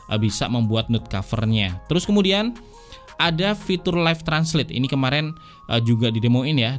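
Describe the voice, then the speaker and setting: Jakarta guy doing a casual tech review, mid-pitched voice, chatty explainer style, bit of music behind. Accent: Jakarta Indonesian. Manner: conversational, explanatory, addresses viewers as friends. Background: background music.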